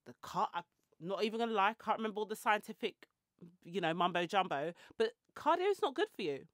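A woman's voice: mid-range voice, expressive, quick pace. A woman, talking straight into a handheld mic in a small indoor room. Her talk is chatty and rambling, full of tangents and little jokes at her own expense. The sound is dry and close, with no room echo. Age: 40s. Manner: chatty and rambling, with tangents and self-mocking asides. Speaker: podcast host. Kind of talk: casual chatty monologue. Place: small indoor room with shelves and clothes rails. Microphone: handheld mic held close.

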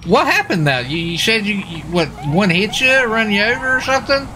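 Man, bright forceful voice, lively pitch, folksy, repetitive, casual police roleplay, game streamer. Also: a little fast, rough recording. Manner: folksy, excitable, repeats himself. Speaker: streamer role-playing a police officer. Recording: faint background noise, game sirens. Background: game sirens and vehicle sounds.

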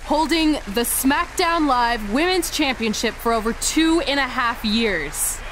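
High-voiced, cocky champion woman wrestler. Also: expressive, measured pace, noisy background. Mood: proud, confident. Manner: confident, taunting, wry. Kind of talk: scripted video game voice acting.

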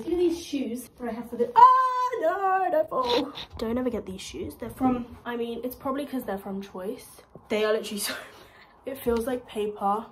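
A woman's voice: on the high side, slight background noise. A young woman chatting to her phone camera in a casual, rambling, self-deprecating vlog, filmed in a small kitchen and a bare room. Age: young adult. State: out of breath, blocked nose. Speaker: vlogger. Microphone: phone camera propped nearby. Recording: echoey room.

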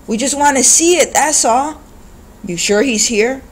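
A reader voicing rough, threatening men who talk in a slurred street manner. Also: high voice, a little slow, a little hiss or hum, voice pushed up. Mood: menacing. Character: rough menacing men robbing an old man.

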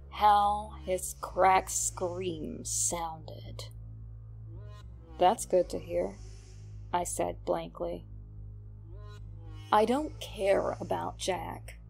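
A woman voicing a smug, cold young male killer reading out his horror journal in a sinister, drawn-out way. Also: on the low side, gravelly, a little mellow, breathy edge, full character voice. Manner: sinister, self-satisfied, drawn-out delivery. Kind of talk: horror story journal read aloud.

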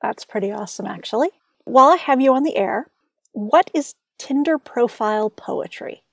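A woman's voice: fairly high pitch, expressive, normal speed, vocal fry, no room sound. A woman hosting a radio interview show, asking questions and addressing the audience. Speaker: radio host.

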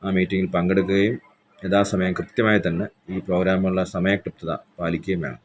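A man with a very deep, flat voice speaks as a police station officer making a formal announcement. He is measured and official, pausing on hesitant fillers. A faint background hiss sits under his voice.

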